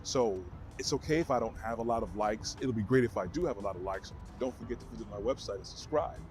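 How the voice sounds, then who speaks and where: A man from Brooklyn with a New York accent and a deep baritone voice, answering questions to camera in an earnest, motivational way. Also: a little mellow, soft background music. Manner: earnest, motivational, measured phrasing. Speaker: vlogger.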